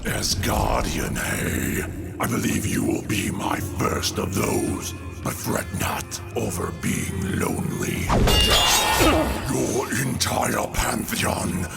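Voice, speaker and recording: A man playing a sinister god-killing villain in a comic book drama, cold and taunting, with a gravelly voice. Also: fairly low pitch, heavily acted. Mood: taunting, amused. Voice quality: gravelly, creaky, breathy at times. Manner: slow, taunting, savoring each threat. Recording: loud music behind the voice. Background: loud music.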